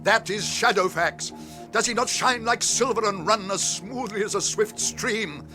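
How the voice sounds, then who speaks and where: An actor playing a solemn, commanding old wizard in a radio drama, with grand declamatory delivery. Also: male voice, high-pitched, unhurried, pushed and bright, soft background music. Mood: urgent, stately.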